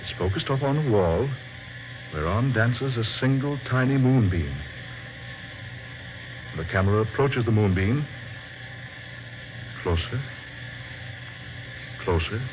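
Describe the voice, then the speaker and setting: An actor playing a grave, visionary scientist in an old-time radio drama, speaking formally and with theatrical deliberation. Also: male voice, medium pitch. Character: grave, visionary male scientist unveiling his secret discovery. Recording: dull, faintly noisy old radio recording.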